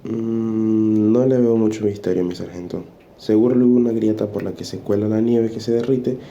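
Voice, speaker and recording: A man's voice: on the low side, fairly even intonation, moderate pace, gravelly, dark tone, dull audio. A man playing a cocky, mocking young soldier with an ironic, needling way of talking in an amateur audio drama. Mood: dismissive. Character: cocky, mocking young soldier, sarcastic toward his comrades.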